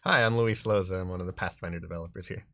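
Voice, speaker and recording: A man with a slightly low voice appears as a guest player. He chats informally and conversationally. The recording is dry, without audible room. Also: rapid-fire, creaky, pushed and bright, no room sound. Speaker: guest player, game developer. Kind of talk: casual chat.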